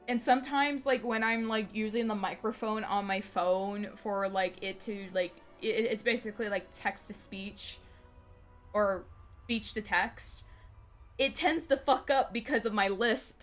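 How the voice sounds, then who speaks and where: A woman's voice: mid-range voice, a little slow, a bit bright. Young female streamer, slight lisp, rambly and full of fillers, faint music underneath. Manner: rambling, many fillers and restarts, laughs often. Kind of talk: casual live-stream chat.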